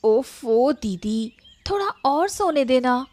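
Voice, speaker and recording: A woman playing a sulky younger granddaughter in an animated story, whiny and pouting. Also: sits high, slow. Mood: sleepy, sulky. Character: sulky sleepy younger sister, girlish granddaughter. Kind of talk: Hindi animated story dialogue.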